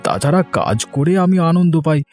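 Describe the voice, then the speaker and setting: A man playing a work-driven, distrustful merchant husband in an animated story, with a brusque, emphatic manner. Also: a little high, a little fast, soft background music. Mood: grumbling. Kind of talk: animated moral-story voice acting.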